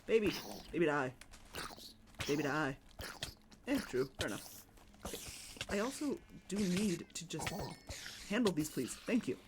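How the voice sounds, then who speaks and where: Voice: medium pitch, animated, a little slow, pauses often, noisy background. A streamer with a Chicago accent, chatting casually and rambling while playing a game at home. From United States. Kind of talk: casual live-stream chat while gaming.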